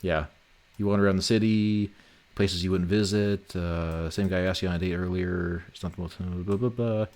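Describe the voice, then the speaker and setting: A man's voice: deep voice, steady tone, normal speed, a little mellow. A man hosting a development livestream, chatting casually in a dry, teasing way. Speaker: livestream host and game developer. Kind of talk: casual chat.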